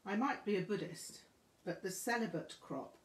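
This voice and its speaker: A woman reading a memoir aloud as scripted narration, with measured, deliberate phrasing. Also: mid-range voice. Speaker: audiobook reader. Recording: roomy, echoing room sound.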